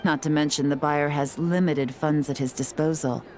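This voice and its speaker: Brief: actress as a cool, collected spy, low and unhurried, game dialogue. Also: female voice, lightly acted. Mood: composed. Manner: calm, measured, self-assured. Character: cool, composed female spy and tea house owner. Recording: game audio with faint music.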